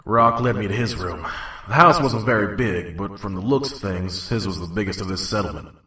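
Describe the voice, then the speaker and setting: A man voicing a wary, gruff stallion trader who narrates a wasteland audio drama tersely. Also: lowish voice, brisk, pushed and bright, reverberant, distant mic. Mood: brooding. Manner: terse, guarded. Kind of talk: first-person story narration and dialogue.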